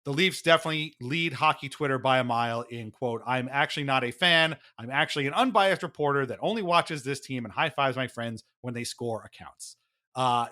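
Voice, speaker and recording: A man with a fairly high, bright and forceful voice co-hosts a sports podcast. He delivers opinionated commentary emphatically, with a wry note of exasperation. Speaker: podcast co-host.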